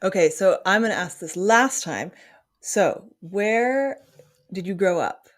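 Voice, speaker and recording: A woman's voice: medium pitch, lively intonation, slow. A woman hosting a podcast interview, asking questions in an informal, inquisitive, conversational way.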